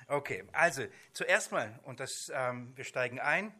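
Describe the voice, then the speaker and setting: A man who speaks as a non-native, giving an informal Bible seminar lecture in a halting way, often rephrasing mid-sentence. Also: mid-range voice, lively intonation, sharp tone. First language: not German. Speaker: Bible teacher.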